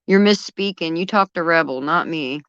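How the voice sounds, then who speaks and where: A woman's voice: low-pitched, normal speed, crowd noise. A woman chatting casually as a guest on a livestream, heard through dull, lo-fi stream audio.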